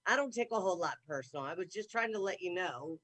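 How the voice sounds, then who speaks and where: Bright-voiced woman, blunt and emphatic. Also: on the low side, expressive, fast. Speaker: podcast guest. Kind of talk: roundtable discussion.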